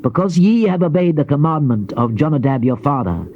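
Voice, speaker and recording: An actor playing a grave Old Testament prophet in a dramatized scripture reading, declaiming in measured phrases. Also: male voice, a little high, sing-song. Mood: stern, solemn. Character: solemn male Old Testament prophet recounting God's warnings. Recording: dull noisy old cassette tape.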